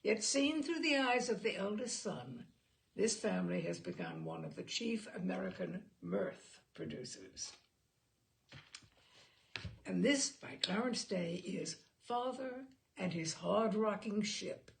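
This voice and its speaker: A woman reading literary stories aloud as a storyteller, with expressive, theatrical phrasing. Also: medium pitch, slow, gravelly. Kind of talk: literary storytelling with informal introduction.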